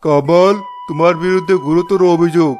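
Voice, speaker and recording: একজন পুরুষের অভিনয়ে ন্যায়পরায়ণ গ্রামের কাজী, একটু চড়া গলা. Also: male voice, a little high, fairly even intonation, a little mellow, soft background music, natural voice. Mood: calm, stern. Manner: formal, measured questioning. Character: fair-minded village judge, composed and probing. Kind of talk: cartoon story voice acting.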